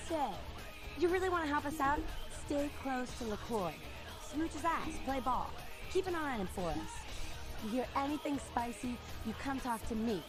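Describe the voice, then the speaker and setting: Tough mouthy vampire rebel chick for a game, highish voice, mocking, loud music behind. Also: female voice, expressive, moderate pace. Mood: mocking, conspiratorial. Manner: slangy, mocking, blunt. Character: brash, tough, sarcastic female anarch vampire. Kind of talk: video game voice acting.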